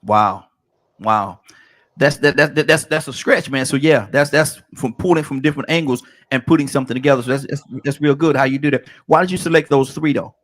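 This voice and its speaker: A man's voice: medium pitch, brisk, a bit bright. Laid-back man hosting a call-in show. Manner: laid-back, stammering restarts, frequent "man". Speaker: radio show host. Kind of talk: casual call-in show chat.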